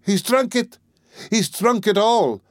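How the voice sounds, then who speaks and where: A man's voice: sits high, animated, slow pace, dry recording, clean studio sound, pitched above the performer's own voice. A man reading an audiobook, voicing a desperate impoverished mother who screams accusations in a frenzy. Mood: frenzied, despairing. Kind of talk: audiobook character voice.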